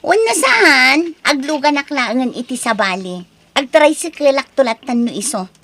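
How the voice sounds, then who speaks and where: A woman's voice: sits high, expressive, moderate pace, fairly bright tone, heavily acted. A woman acting an envious, gossiping married neighbour in an Ilocano audio drama, brash and needling. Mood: accusing, insistent.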